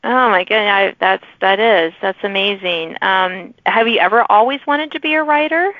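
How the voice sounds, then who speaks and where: Female interviewer, conversational and chatty, mid-pitched voice, dry narrowband recording. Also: normal speed, dry recording. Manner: chatty, laughs easily. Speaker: podcast host. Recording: narrow phone-like sound, dry.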